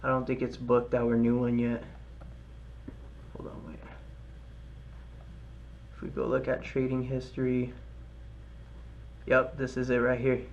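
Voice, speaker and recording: A man's voice: medium pitch, monotone, unhurried, long pauses, dull audio, slight background noise. A man with a light voice hosting a live trading stream, chatting casually with viewers and cheering on his trades. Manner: hyped, cheering and chanting during trades.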